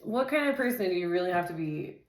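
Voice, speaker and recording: A woman with a somewhat low, loud voice chats her way through a tarot reading, filling every pause with "like" and "dude." The room is echoey, and a faint hiss runs underneath. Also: expressive. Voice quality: says she has a naturally loud voice. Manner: chatty, loose, filler-heavy, says "dude" and "like" constantly. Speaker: tarot reader. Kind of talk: casual tarot reading and rambling chat.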